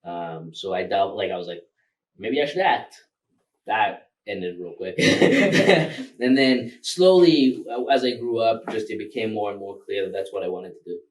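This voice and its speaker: Low-voiced Rwandan man, a bit off-mic. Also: unhurried, far from the mic, poor audio. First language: Kinyarwanda. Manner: relaxed and rambling, with many fillers and laughs. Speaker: filmmaker guest. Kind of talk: casual interview.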